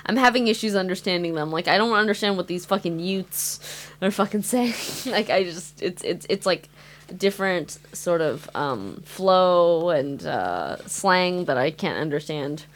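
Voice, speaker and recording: A young woman raised in the United States, whose first language is Mandarin, chatting casually as a podcast co-host, animated, laughing often and swearing freely. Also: lowish voice, a little slow. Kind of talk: casual chat.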